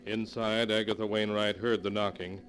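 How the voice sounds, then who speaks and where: An actor playing an ominous, measured storyteller narrating a 1940s radio horror tale. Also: male voice, on the low side, little pitch movement, a bit bright, faint music behind, natural voice. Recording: faintly noisy 1940s radio recording.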